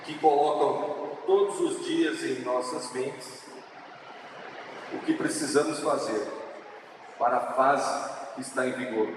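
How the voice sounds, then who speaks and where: A man with a São Paulo countryside accent gives a slow, earnest public speech, stretching out the words he wants to stress. His voice sits a bit high for a man. He is picked up from a distance in a big, reverberant assembly hall with clear noise behind him. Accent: São Paulo countryside Brazilian Portuguese. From interior of São Paulo state, Brazil. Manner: earnest, preaching, slow with drawn-out emphatic words. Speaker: speaker at a legislative assembly event. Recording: echoey hall, distant mic, noisy.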